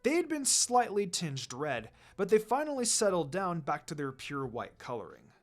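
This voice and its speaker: A man narrates a story with a lively, varied delivery and a slightly high pitch. Music plays behind his reading. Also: normal speed. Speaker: fan fiction reader. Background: background music.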